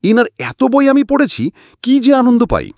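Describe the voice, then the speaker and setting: A man's voice: extremely high pitch, huge pitch swings, normal speed, a little mellow, no echo, studio quality, pitched above the performer's own voice, heavily acted. A man voicing a gracious, effusive housewife and admiring reader in an audiobook story. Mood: delighted. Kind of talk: audiobook character acting.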